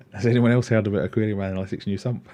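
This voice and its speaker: A Scottish man from Glasgow hosting an aquarium livestream, casually answering chat questions and rambling with self-deprecating asides. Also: lowish voice. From Glasgow, Scotland.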